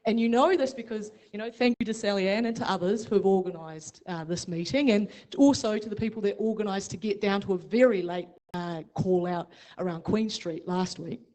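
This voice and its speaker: A woman's voice: medium pitch, brisk, a little mellow. Female resident, New Zealand accent, frustrated, pointed. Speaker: resident speaking at public meeting. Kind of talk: public meeting question.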